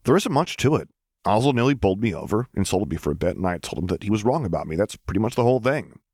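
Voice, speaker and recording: A man's voice: fairly low pitch, big pitch swings, fast, husky, dry recording, clean studio sound, exaggerated voice. A man voicing a good-humored, polite young man with a primly indignant streak in an audiobook. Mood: exasperated. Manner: bright, cheeky, primly emphatic. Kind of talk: audiobook character dialogue.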